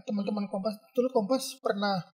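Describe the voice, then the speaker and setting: A man's voice: sits high, fairly even intonation, a little fast, darkish tone. A man from Indonesia, a photographer guest, telling rambling stories in a casual interview with many fillers. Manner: chatty, rambling storyteller with frequent fillers.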